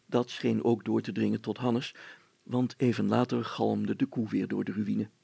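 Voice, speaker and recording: A man's voice: on the low side, level tone, moderate pace, vocal fry, dark tone, breathy edge, dry recording. A man narrating a children's audiobook as a scripted storyteller.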